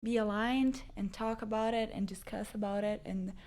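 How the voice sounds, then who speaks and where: Soft-spoken young woman. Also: medium pitch, fairly even intonation, normal speed. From Brazil. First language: Portuguese. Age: 20s. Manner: soft-spoken, modest, giggly. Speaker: guest, host's partner. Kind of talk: casual relationship chat.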